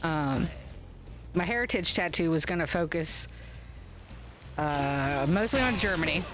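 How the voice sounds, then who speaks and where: A woman's voice: low voice, expressive, a bit bright, a little hiss or hum. A woman hosting a gaming stream, chatting casually and rambling with fillers as she plays at home. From United States. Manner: rambling, unhurried, with frequent fillers and chuckles. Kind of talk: casual chat while playing a game. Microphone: webcam setup.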